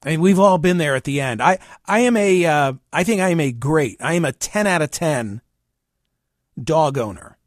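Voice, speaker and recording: A man with a slightly high voice hosts a talk radio show in a dry studio. He reads the news aloud and chats about it, leaning on key phrases and pausing for drama. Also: expressive, dry recording. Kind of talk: conversational news read-through, reading aloud and commenting.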